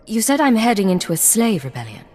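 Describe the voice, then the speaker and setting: A woman's voice: mid-range voice, big pitch swings, quick pace, a little mellow. An actress playing a tough, sardonic female secret agent in a video game, with a dry, cool, menacing manner. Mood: dry, questioning. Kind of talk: scripted video game dialogue.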